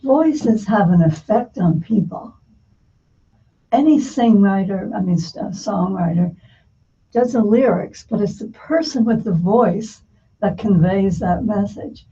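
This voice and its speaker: A woman's voice: medium pitch, expressive, unhurried, dark tone. An older woman talking casually in conversation. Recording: dull, distant mic, faint noise.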